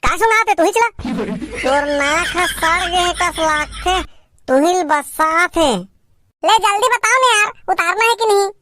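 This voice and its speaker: A man voicing an excitable, grumbling villager in a cartoon comedy, with a Chhattisgarh accent and an exclamatory, teasing delivery through a pitched-up effect. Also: unhurried, no echo, heavily acted. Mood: irritated. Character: excitable, grumbling male villager on a picnic outing.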